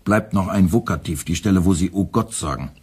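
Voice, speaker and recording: A politely pedantic young editor in a radio drama. His voice is fairly low and slightly rough, and he spells out every detail with formal, needling courtesy. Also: a little mellow. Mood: pedantic, formally polite. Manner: formal, meticulous, deliberately enunciated. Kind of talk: radio play dialogue.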